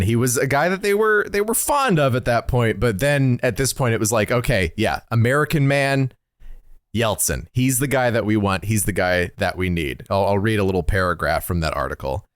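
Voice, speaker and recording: Male podcast host, mid-pitched and lively in intonation, casual explainer delivery, very dry close sound. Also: no echo. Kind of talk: casual podcast discussion with quoted passages read aloud.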